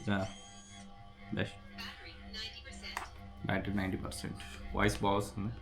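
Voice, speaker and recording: A man's voice: fairly low pitch, completely flat delivery, normal speed, gravelly, music in the background. A man talking casually as a vlogger, explaining things and mixing in English words. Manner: mixes English words into his speech. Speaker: vlogger sharing a product repair experience. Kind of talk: casual explanatory vlog with unboxing.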